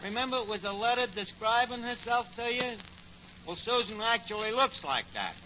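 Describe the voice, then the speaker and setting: An actor playing a wisecracking tavern manager with a New York accent and slangy, mock-grand speech in old radio comedy. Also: male voice, very high-pitched, lively intonation, moderate pace. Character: scheming, wisecracking male tavern manager, malaprop-prone. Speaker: sitcom lead. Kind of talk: 1940s radio comedy acting. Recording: noisy, dull 1940s radio recording.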